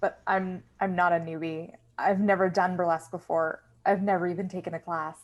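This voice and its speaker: A woman's voice: fairly low pitch, flat delivery, fairly quick, lo-fi sound, natural voice. An actress playing a shy, apologetic, self-doubting young woman, hesitant and flustered, in a conversational table read. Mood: hesitant, reluctant.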